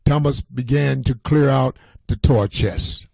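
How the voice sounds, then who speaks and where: A man reading a children's story aloud in a deliberate way, with an occasional stumble over a word. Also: medium pitch, creaky, darkish tone, no echo. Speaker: read-aloud reader.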